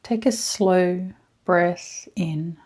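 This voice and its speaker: A woman leading a scripted guided meditation in a soft, at times breathy voice, with hushed, soothing, deliberate phrasing and frequent pauses. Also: fairly low pitch. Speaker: guided meditation leader, energy healer.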